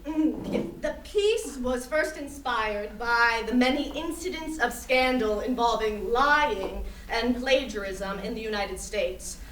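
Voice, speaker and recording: In an echoey room, a woman plays a thoughtful visiting artist from China giving a critical talk on stage. Her voice is high and she speaks slowly and deliberately, picked up from far off. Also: lively intonation, a bit bright, a little hiss or hum. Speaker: interviewed artist. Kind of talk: staged play reading, mock artist talk.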